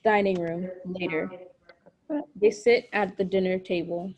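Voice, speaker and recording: A woman reading scripted stage directions as narrator of a drama, in short, measured phrases. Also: on the low side, steady tone, darkish tone.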